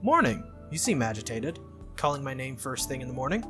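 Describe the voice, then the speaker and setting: A game reader voicing a brisk, teasing, confident teenage anime schoolgirl with a breezy, needling manner. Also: mid-range voice, very animated, music in the background, natural voice. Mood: breezy, questioning.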